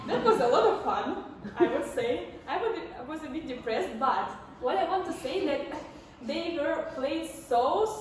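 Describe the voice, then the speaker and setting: Female storyteller, 20s, Ukrainian-accented, high-pitched, addressing a live audience, recorded from a distance with slight noise. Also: a little mellow. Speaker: amateur storyteller on stage. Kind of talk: personal storytelling talk to an audience. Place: indoor stage before a community audience. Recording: echoey, distant, faintly noisy live room.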